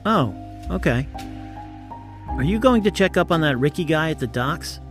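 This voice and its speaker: A man's voice: a little high, expressive, moderate pace, soft background music, lightly acted. A man playing a detective's concerned, chatty assistant in an audio drama, conversational and inquisitive. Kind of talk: scripted audio drama dialogue.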